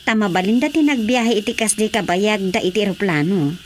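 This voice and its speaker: A woman's voice: medium pitch, a little fast, a little hiss or hum, close to an everyday voice. A woman with an Ilocano accent playing an inquisitive family woman in a radio drama comedy. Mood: chatty.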